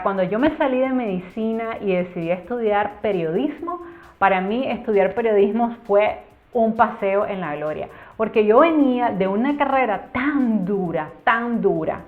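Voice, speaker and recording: Young Cuban-born woman, YouTube storyteller, earnest explainer; tinny phone-band audio. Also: medium pitch. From Cuba. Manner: earnest, explanatory, stretches words for emphasis. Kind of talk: casual storytime vlog. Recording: tinny phone-like sound with faint music.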